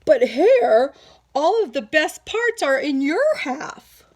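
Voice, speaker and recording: A woman voicing a lazy, grumpy male bear in a children's story, groggy and slow-witted as he grumbles. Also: high voice, big pitch swings, pitched above the performer's own voice, heavily acted. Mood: indignant. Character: sleepy, lazy, grumpy big male bear, easily fooled.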